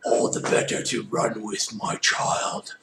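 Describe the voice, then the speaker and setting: A man's voice: on the low side, level tone, slow pace, gravelly, pushed and bright, recorded from a distance, full character voice. A man voicing a sly, predatory storybook wolf who coaxes while posing as a kindly grandmother, in an echoey room. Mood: coaxing menace. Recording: echoey room with faint noise.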